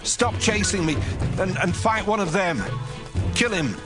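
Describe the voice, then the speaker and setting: A man's voice: sits high, unhurried, music in the background. An actor playing an urgent, pleading army general in a Bible audio drama, projecting his lines. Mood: urgent warning. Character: embattled army general shouting across the battlefield, urgent, pleading.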